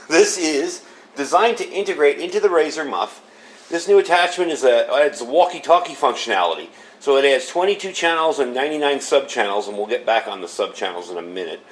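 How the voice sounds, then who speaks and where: A man with a middling-pitched voice presents a piece of gear in an informal, hands-on review. He explains things conversationally, as a host talking straight to viewers. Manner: conversational explainer with occasional chuckles.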